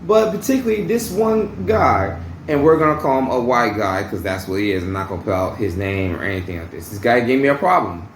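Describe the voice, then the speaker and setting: A man's voice: medium pitch, expressive, fairly quick, hardly any pauses, roomy sound, slight background noise. A man casually telling a story about his job, rambling and repeating himself, often asking "okay?" and swearing bluntly. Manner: blunt, uses profanity freely. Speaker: vlogger telling a work story.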